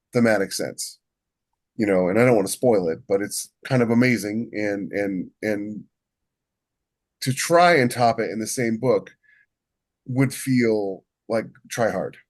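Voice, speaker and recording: A man's voice: lowish voice, darkish tone. A man talking casually as a podcast co-host, rambling and often doubling back on his words as he explains his writing. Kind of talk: casual shop talk about writing.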